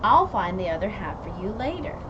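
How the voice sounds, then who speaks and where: Woman voicing a kind dad, gentle, reassuring, lively lilt, muffled, vehicle noise. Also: medium pitch, fairly quick, lightly acted. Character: kind, amused father.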